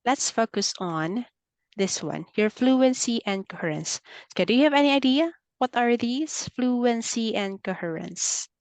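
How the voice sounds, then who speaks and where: A woman's voice: fairly high pitch, lively intonation, a little slow, darkish tone, no room sound. Filipino-accented woman tutoring over a call. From Philippines. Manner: patient, explanatory, frequent "okay" fillers. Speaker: English tutor. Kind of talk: online one-on-one speaking lesson. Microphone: video call.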